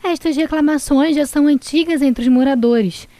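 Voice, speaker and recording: A woman reporting on the news, narrating the story in a clear, measured voice-over. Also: sits high, dark tone.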